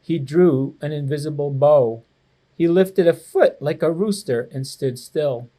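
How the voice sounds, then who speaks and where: A man reading a children's storybook aloud to students with expressive, clear phrasing, like a school educator. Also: a little high, measured pace. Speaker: assistant principal reading to students.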